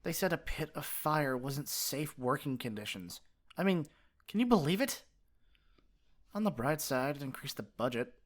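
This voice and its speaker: A man playing a pompous, easily exasperated supervillain in an ASMR roleplay, theatrical and sarcastic with a softer caring side. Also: a little high, lively intonation, moderate pace. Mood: weary, sarcastic. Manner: pompous mock-grand monologuing that slips into flustered sarcasm. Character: theatrical gloating male supervillain, exasperated, secretly caring nemesis.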